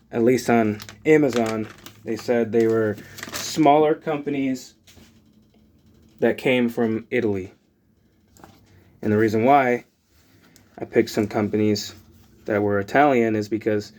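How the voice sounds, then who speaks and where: A man's voice: mid-range voice, measured pace, long pauses, a little hiss or hum. A man, chatting casually as he unboxes items for his channel, rambling with lots of fillers. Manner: rambling, filler-heavy, relaxed. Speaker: channel host.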